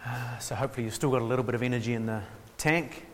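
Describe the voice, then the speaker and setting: A man gives a relaxed workshop talk at a middle pitch. He pauses often with ums and restarts, and adds a dry, self-mocking humour. His voice carries a long hall reverb. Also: a little hiss or hum. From New Zealand. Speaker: workshop speaker on theology. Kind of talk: informal lecture.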